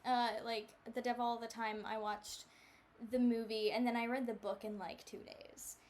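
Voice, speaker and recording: Higher-voiced young Southern American woman chatting. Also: little pitch movement, normal speed, a little mellow. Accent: light Southern American. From North Carolina, United States. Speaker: podcast co-host. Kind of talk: casual chat.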